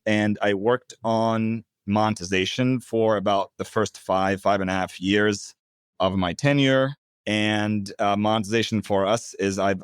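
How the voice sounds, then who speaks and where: A man in his thirties from Turkey, a product executive calmly explaining his work in an interview. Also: on the low side, clean tone, no room sound, studio quality. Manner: measured, explanatory. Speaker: head of product, guest. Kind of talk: interview answers.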